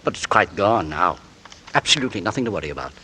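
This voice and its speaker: An actor playing a polite, nervous husband who narrates a 1940s radio drama. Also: male voice, sits low, expressive, fast, sharp tone, slight background noise. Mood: genteel. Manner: genteel, polite, nervously hesitant. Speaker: narrator and lead. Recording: old radio broadcast with music.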